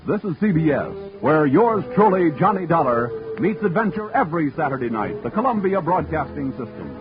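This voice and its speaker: Man, 1940s network announcer, formal, lively pitch, lo-fi with music bed. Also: fairly quick. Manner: formal booming announcer delivery. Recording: dull old radio recording with music.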